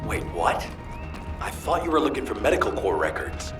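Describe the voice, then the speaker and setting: Man acting baffled game guy, lively mid voice, echoey, music behind. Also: mid-range voice, moderate pace, recorded from a distance, lightly acted. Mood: puzzled.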